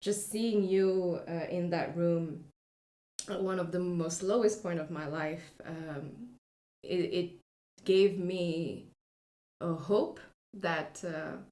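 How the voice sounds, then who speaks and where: A woman in her thirties from France with a French accent, telling her personal story as a podcast guest in a soft, reflective way with many pauses and filler sounds. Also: fairly low pitch, reverberant.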